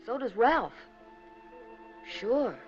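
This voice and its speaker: A woman delivering scripted 1950s documentary narration in an earnest, measured, rhetorical manner. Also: fairly high pitch, big pitch swings. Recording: dull 1950s film soundtrack, music behind. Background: background music.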